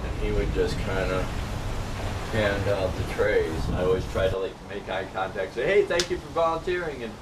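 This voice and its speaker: A man's voice: on the low side, normal speed. A man from upstate New York telling a long, rambling personal story in casual chat. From Norwich, New York. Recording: distant mic with steady background noise.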